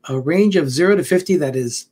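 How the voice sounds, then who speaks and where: A man joins over a video call, his voice a touch higher than most and slightly raspy from smoke. He explains things in a plain, measured way. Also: darkish tone. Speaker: guest. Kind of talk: casual video call chat.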